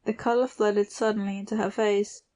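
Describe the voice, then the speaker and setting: A female audiobook narrator reads literary fiction at a middle pitch. Her intonation stays even and restrained from phrase to phrase. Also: a little fast.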